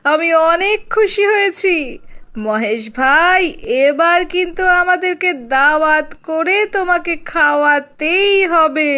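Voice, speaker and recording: A woman's voice: sits high, a bit bright, pitched above the performer's own voice. A woman voicing a jovial, chauvinistic village man in a children's cartoon, effusive and opinionated. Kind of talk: children's cartoon character acting.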